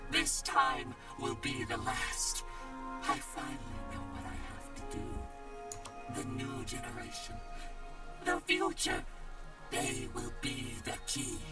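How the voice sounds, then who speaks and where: A man voicing a brooding, vengeful game villain in a hushed, grave, dramatic monologue. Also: mid-range voice, wildly swooping pitch, slow, creaky, distant mic, over music, full character voice. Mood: bitter, menacing. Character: brooding, vengeful villain vowing to corrupt the world.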